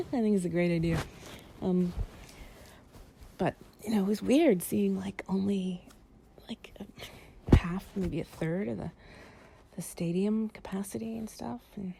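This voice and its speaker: A woman playing an affectionate, playful girlfriend in an improvised bedtime cuddle audio, speaking softly and breathily, often near a whisper, in a rambling way. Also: medium pitch, gravelly, slight background noise. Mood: chatty, wry. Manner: soft, rambling, affectionate, giggly. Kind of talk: improvised intimate relaxation roleplay audio, ASMR-like.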